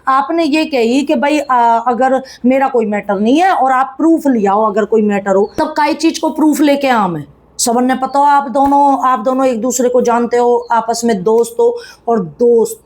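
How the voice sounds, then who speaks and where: A woman's voice: a little high, normal speed, a little mellow. A woman, giving casual, blunt, chiding gossip commentary to viewers in colloquial speech. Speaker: YouTube commentator.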